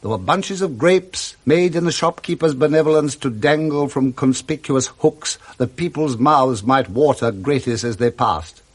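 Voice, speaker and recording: A man with a British accent giving expressive scripted narration of a classic story in 1960s radio style, relishing each detail. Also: mid-range voice, moderate pace, few pauses.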